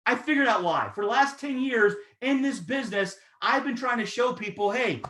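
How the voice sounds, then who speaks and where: A man in his thirties giving an energetic, persuasive sales pitch, talking straight to his audience. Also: moderate pace, echoey room, a little hiss or hum. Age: 30s. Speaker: network marketing presenter.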